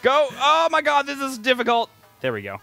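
Animated, bright-voiced man bantering over game music. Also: very high-pitched, normal speed, vocal fry. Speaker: gaming video co-host. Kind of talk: casual banter over gameplay. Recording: game music mixed behind the voice. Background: video game audio.

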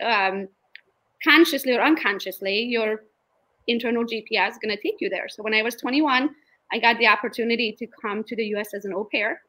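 Romanian lady on a video call, bright voice, chatty and laughing a lot, webcam sound. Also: fairly high pitch, poor audio. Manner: lively storyteller, laughs often. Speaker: guest realtor. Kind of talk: casual video-call interview. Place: home room, indoors. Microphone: laptop webcam on video call.

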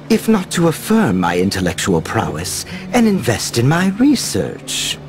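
Scheming game villain scientist, gravelly, slow haughty drawl, loud music behind. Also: male voice, mid-range voice, full character voice. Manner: theatrical, haughty, drawn-out delivery. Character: vain, scheming, obsessive male scientist villain. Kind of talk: video game cutscene acting.

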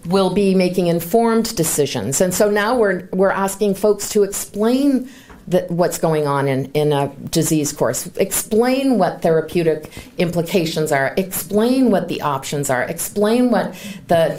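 A woman in her sixties opening a conference with an informal talk, full of chatty asides, speaking at a podium in a large bright hall. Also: on the low side, a little hiss or hum. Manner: chatty, self-deprecating asides with light laughter. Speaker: conference organizer and presenter. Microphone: lapel mic, then handheld mic.

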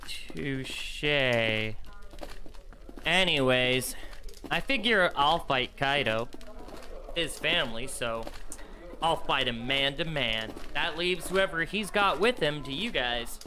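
A man's voice: on the high side, lively intonation, takes it slowly, sharp tone, a little hiss or hum, heavily acted. A man playing a cocky, goofy, hot-headed young yakuza brawler with brash, bumbling boasts in an anime-style audio drama.

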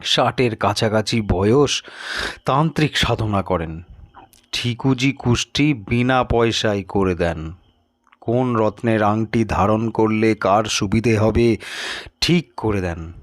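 A man narrating a scripted story in a measured, expressive storytelling manner. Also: on the low side, dry recording. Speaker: audiobook narrator.

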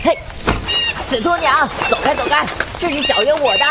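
A woman voicing a cheeky, greedy young male fox spirit with a shrill, squabbling manner. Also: normal speed, very noisy. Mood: bratty. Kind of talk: Chinese audio drama acting. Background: music score.

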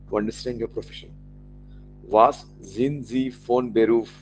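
A man with an Indian accent, whose first language is Marathi, teaching an online German class, slowly repeating each German phrase for his learners. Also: mid-range voice, a little hiss or hum, rough recording.

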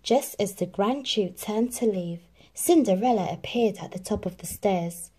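A woman reading a children's storybook aloud in an expressive, animated narrating style. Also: mid-range voice, measured pace, breathy edge. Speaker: storybook reader.

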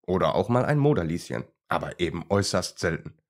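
A proud, enthusiastic crested newt from a children's tale, voiced by a man. He is chatty and keen to explain, with highly animated intonation. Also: fairly quick.

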